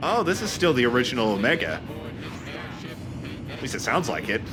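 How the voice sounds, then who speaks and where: A man's voice: mid-range voice, big pitch swings, a little fast. A man giving casual, rambling and enthusiastic gaming commentary among friends. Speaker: let's play host. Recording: voice over loud game music. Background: game music and sound effects.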